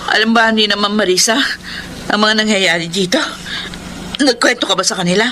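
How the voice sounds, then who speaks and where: A woman's voice: medium pitch, fairly even intonation, a little fast, pushed and bright, a little hiss or hum, lightly acted. A woman playing a bitter, hot-tempered Filipino mother hardened by poverty in a radio drama, scolding and emotional. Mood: subdued, guarded.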